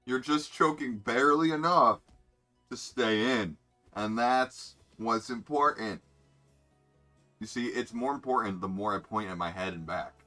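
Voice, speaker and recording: A man's voice: medium pitch, measured pace, bright, forceful tone. A young man streaming a racing game, rambling and joking as he reads out player names, with faint music behind. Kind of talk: casual live game commentary.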